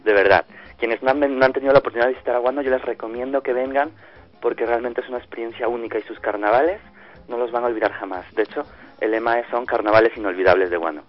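A man's voice: mid-range voice, fast. A man from Ecuador being interviewed over the phone, answering with many hesitations and restarts but with real enthusiasm for his hometown. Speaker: festival organizer guest. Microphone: phone line. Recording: dull lo-fi phone line.

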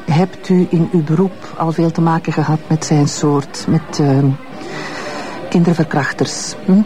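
A bitter, mocking Flemish ex-wife. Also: female voice, sits low. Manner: mocking, insinuating, drawn-out. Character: bitter, sardonic middle-aged ex-wife. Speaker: witness, ex-wife. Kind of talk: radio drama acting.

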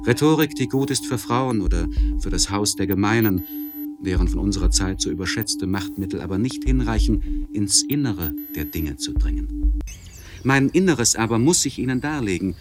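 An actor playing a troubled, introspective young aristocratic poet, speaking formally and eloquently in a literary radio monologue. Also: male voice, on the low side, lively intonation, moderate pace, over loud music, natural voice. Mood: confessional, uneasy. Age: young adult. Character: troubled, introspective 26-year-old aristocratic English poet writing a letter. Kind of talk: literary radio monologue, letter read aloud.

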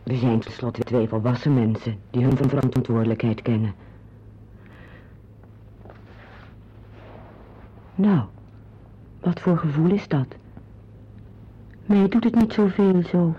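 An actress playing a composed, obliging woman in a radio play, with a husky voice. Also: extremely low pitch, unhurried, pauses often, exaggerated voice. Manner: polite, demure, matter-of-fact. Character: obliging, composed, matter-of-fact mature woman, Mrs Atkins. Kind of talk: radio drama acting. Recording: dull, muffled old radio recording.

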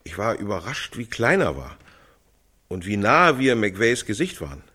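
An actor playing a grave, sober male eyewitness who gives halting, detailed testimony in a radio play. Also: lowish voice, big pitch swings, pushed and bright, husky, full character voice. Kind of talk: radio play monologue styled as witness testimony.

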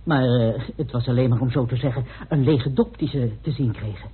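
An actor playing a methodical researcher explaining evidence precisely in a Dutch radio play. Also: male voice, medium pitch, fairly quick, slightly rough. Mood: methodical, earnest. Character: Peacock, methodical, meticulous male researcher laying out evidence. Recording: muffled, band-limited old radio recording.

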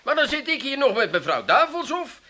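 Radio comedy: fussy genteel lodger, Netherlands Dutch accent, high-pitched, cuttingly bright, snide. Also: male voice, sing-song, brisk, full character voice. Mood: irritated. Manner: sarcastic, mock-polite. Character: fussy, self-important male lodger with genteel pretensions. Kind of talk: comic radio play acting.

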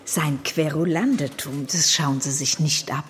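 An actress playing a nosy, chatty, teasing colleague in a radio drama, talking in a pert, colloquial way. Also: female voice, sits low, unhurried, long reverb tail. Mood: curious, teasing.

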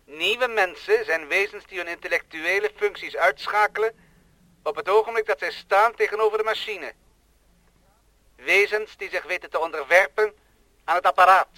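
An actor playing a cold, boastful thinking machine that scorns humans, in solemn declamatory radio play style. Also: male voice, high voice, moderate pace, bright, forceful tone, no echo, low-quality recording. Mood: contemptuous, triumphant. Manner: solemn, pompous, rhetorical.

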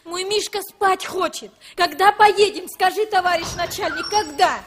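A woman's voice: very high voice, moderate pace, noisy background. An actress playing a simple-hearted woman traveler who laments and pleads in a folksy way in a radio play. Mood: wailing, pleading. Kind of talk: 1960s Soviet radio play acting.

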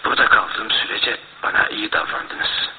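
An actor in a radio play playing a meek, troubled, evasive lodger who speaks haltingly and stammers. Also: male voice, on the low side, breathy edge. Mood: troubled, insistent. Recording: noisy, lo-fi, phone-like old radio broadcast.

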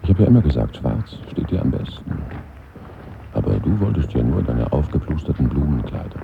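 Dead man, radio play, bitter, anxious, gravelly monologue, muffled phone-like noisy recording. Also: rumbling low, very animated, a little fast, full character voice. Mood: wry. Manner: brooding monologue to absent listeners. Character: dead man at his own funeral, bitter, weary, anxious. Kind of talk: German radio play acting. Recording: muffled phone-like sound, strong background noise.

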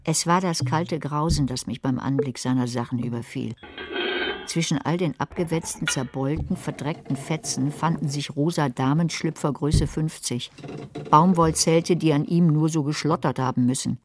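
An actress playing a sardonic, greedy retired librarian in a radio drama, older, with a dry, wry, literary delivery. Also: female voice, sits low, moderate pace, noisy background, natural voice. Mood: wry disgust. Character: greedy, sardonic retired librarian woman narrating her story. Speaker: narrator and lead character. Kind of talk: radio drama narration and dialogue.

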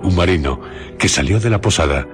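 A man's voice: sits low, expressive, brisk. A man narrating a story as the scripted narrator of a radio drama. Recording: loud music behind voice.

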